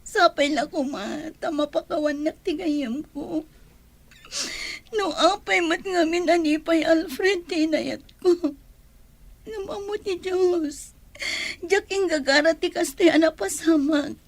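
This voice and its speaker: Young Filipina radio-drama heroine: very high voice, slow delivery, tearful and apologetic. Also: female voice, heavily acted. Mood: distressed. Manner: pleading, emotional. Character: tearful, apologetic young woman pleading with her friend.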